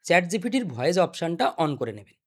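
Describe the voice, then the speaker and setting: A man's voice: on the high side, big pitch swings, fast, a bit bright. A young man presenting a step-by-step spoken tutorial. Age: young adult. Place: small indoor desk studio. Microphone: desk microphone.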